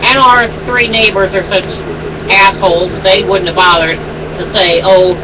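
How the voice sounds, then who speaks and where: A woman's voice: mid-range voice, steady tone, measured pace, distant mic, background noise. A woman chiming in during a casual home vlog, blunt and sarcastic, swearing freely. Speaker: vlogger's wife. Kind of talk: casual chat.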